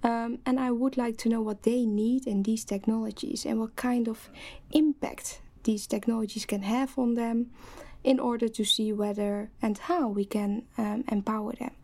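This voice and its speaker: Young woman, Dutch accent, Dutch native speaker, fairly high pitch, warm mellow tone, hesitant. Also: fairly even intonation. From Netherlands. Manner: hesitant, many pauses and ums. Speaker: PhD student guest. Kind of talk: podcast interview.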